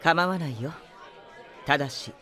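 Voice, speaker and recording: An actor playing a polite, composed, enigmatic youth in a Japanese audio drama, soft-spoken and boyish. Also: male voice, fairly high pitch, a little slow, a faint crowd. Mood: calm, polite.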